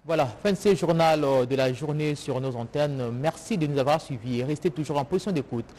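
A man's voice: a little high, brisk, darkish tone. A man in his thirties with a Congolese accent, presenting the news as an anchor in a TV studio. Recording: clear background noise.

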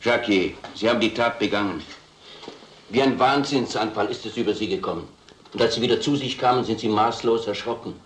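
Man acting stern male investigator, lowish pitch, authoritative, radio crime drama, echo, faint noise. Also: fairly bright tone, natural voice. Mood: pressing. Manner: deliberate, explanatory, authoritative. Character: stern, accusing male police investigator pressing for confession.

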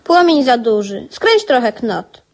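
Poor village schoolboy, high voice, shy, plain-spoken, radio drama. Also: female voice, big pitch swings, a little slow, darkish tone. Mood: plain, matter-of-fact.

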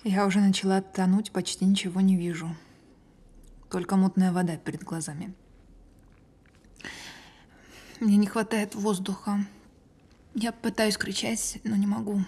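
An actress playing a frightened woman reliving a childhood memory under hypnosis, speaking haltingly in a naturalistic audio drama. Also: medium pitch, steady tone, mellow, husky. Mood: tranced, uneasy.

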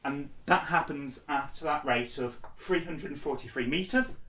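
A man's voice: on the high side, measured pace, sharp tone. Young man teaching in an echoey room. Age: 20s. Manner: informal, step-by-step explaining. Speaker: instructor. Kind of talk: explanatory lesson at a blackboard. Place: small bare indoor room. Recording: echoey room, distant microphone.

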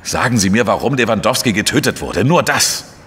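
Blunt, sardonic investigator, weary and irritable, deep voice, radio crime drama, slight background noise. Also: male voice, lively intonation, brisk, fairly bright tone. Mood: agitated, fed up. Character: weary, irritable male investigator and first-person narrator. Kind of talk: German crime radio drama, narration and dialogue.

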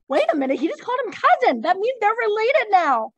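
A woman teacher leading a class read-through of a play, prompting readers with animated, informal asides and reading stage directions. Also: very high voice, fairly quick, dry recording, dull audio.

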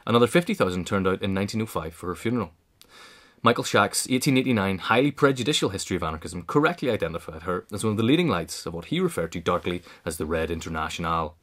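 A man's voice: deep voice, animated, fast, a bit bright. A man narrator reading a scripted biographical essay aloud. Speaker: narrator, reader.

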